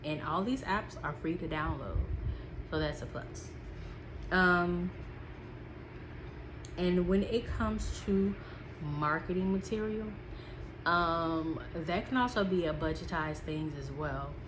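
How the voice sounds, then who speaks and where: Stuffy-nosed woman, low voice. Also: slow, pauses often, noisy background. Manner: chatty, with frequent "um" and repeats. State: stuffy, sniffling. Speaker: small business vlogger. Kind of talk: casual talk from notes.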